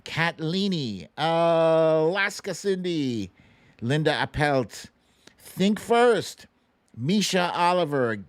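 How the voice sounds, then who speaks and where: A man's voice: fairly high pitch, expressive, slow pace, no echo. Playful male livestream host, dry close sound. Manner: playful, chatty. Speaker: host of a live online show. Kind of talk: casual livestream quiz banter, reading out viewers' names.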